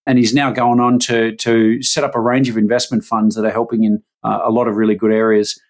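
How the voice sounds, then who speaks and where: A man's voice: on the low side, flat delivery, fairly quick. A man hosting a podcast interview, talking casually with fillers and restarts. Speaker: podcast host. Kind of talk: conversational interview.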